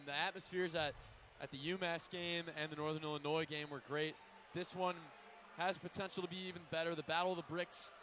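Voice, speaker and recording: A young man cohosting a student radio basketball pregame courtside, emphatic and loud, running through stats. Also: a little high. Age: young adult. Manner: emphatic, loud, stat-heavy. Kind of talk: live basketball pregame analysis. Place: courtside in a packed arena. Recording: faint arena noise behind.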